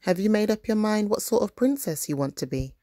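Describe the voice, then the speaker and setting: A woman voicing a kindly, encouraging grandmother in a storybook, gently questioning and reassuring. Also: mid-range voice, animated, brisk, dry recording, clean studio sound, voice pushed up, natural voice. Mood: practical, reassuring.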